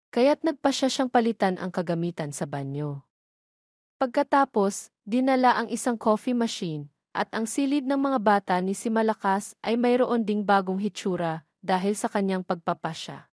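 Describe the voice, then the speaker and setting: A woman's voice: mid-range voice, darkish tone, no echo. Woman narrating, close dry sound. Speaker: story narrator. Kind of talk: scripted narration of a romance drama.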